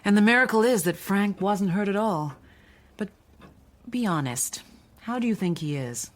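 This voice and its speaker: A woman's voice: mid-range voice, animated, normal speed, close to an everyday voice. An actress playing a wealthy, middle-aged mother with cool poise in a radio drama, polished and politely insinuating. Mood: gracious, guarded.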